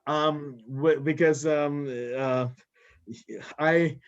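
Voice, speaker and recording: A man's voice: on the high side, little pitch movement, drawn-out words, highs cut off, a little hiss or hum. A middle-aged American man, a rabbi, chatting through a talk over a video call and chuckling as he goes. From United States. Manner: chatty, chuckles often between thoughts. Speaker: rabbi and guest speaker. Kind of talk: relaxed lecture Q&A.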